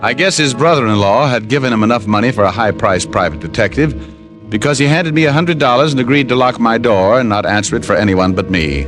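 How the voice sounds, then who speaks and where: A man's voice: mid-range voice, expressive, fairly quick, natural voice. A man playing a cocky, wisecracking private detective in an old-time radio drama, with a wry, flippant delivery. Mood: dry narration. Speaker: lead actor. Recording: vintage radio broadcast with music.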